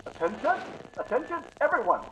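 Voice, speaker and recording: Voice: medium pitch, big pitch swings, fairly quick, muffled recording, a crowd in the background, close to an everyday voice. An actor playing an urgent, formal 1950s radio news announcer, reading a bulletin emphatically over slight background noise.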